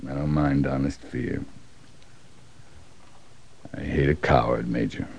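An actor playing a hard, bitter middle-aged cavalry officer in a radio Western, with a clipped, restrained way of talking. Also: male voice, rumbling low, fairly even intonation, slow pace, darkish tone, slight background noise, full character voice. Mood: bitter, brooding. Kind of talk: old-time Western radio drama acting. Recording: dull, band-limited old radio recording.

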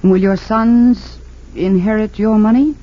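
An actress playing a composed, principled woman defense attorney in an old radio drama, formal and measured as she questions. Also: medium pitch, steady tone, dry recording, natural voice. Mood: probing, businesslike. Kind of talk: 1940s-50s radio drama acting. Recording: dull lo-fi old radio recording.

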